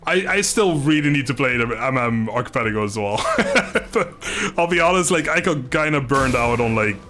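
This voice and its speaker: A man's voice: mid-range voice, animated, moderate pace, bright, forceful tone, faint music behind. A man hosting a live game stream, chatting casually and jokingly with his viewers and often laughing. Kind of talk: casual live gaming commentary and chat.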